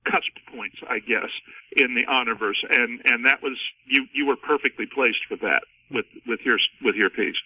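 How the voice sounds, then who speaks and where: Narrow telephone sound, mid-pitched man, halting delivery, repeated phrases, author on discussion panel. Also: no room sound, far from the mic. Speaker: author being interviewed. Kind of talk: casual panel discussion of books. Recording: thin phone-like band, dry.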